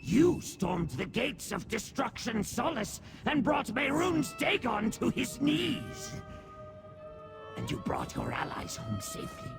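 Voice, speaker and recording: A man's voice: very high-pitched, wildly swooping pitch, slow, vocal fry, over music, heavily acted. A man voicing a tiny cursed whiskered creature in a video game, a bloodthirsty and devoted servant who speaks in pompous, theatrical, formal phrases. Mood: resolute, hopeful. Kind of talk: video game character acting.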